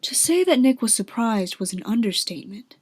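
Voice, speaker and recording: A woman's voice: a little high, very animated, moderate pace, darkish tone, husky, dry recording, studio quality. A woman reading scripted narration for a radio drama.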